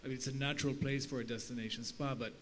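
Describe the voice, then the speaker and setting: A man's voice: mid-range voice, steady tone, rapid-fire, fairly bright tone. A man from Guatemala putting a spontaneous question to a conference panel from the audience. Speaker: audience member asking a question. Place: conference audience, handed microphone. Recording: faint hum and background noise.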